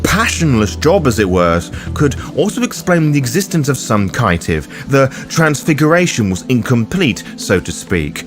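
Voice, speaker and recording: Man narrating with lively, swooping pitch. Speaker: host of a roleplaying guide show. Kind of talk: scripted narration, explanatory guide.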